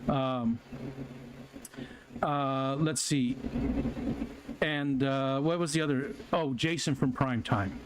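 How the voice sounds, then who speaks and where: A man hosting his own live stream, chatting casually with viewers in a rambling way, with lots of fillers and restarts. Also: fairly high pitch. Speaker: livestream host. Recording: noticeable background noise.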